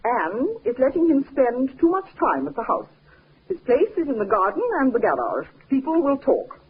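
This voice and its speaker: Prim busybody lady in 50s radio play, really husky, lively pitch, stiff and disapproving, thin old recording. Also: normal speed, exaggerated voice. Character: prim, meddling, insinuating female relative. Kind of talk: 1950s radio drama acting. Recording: muffled, tinny 1950s radio recording.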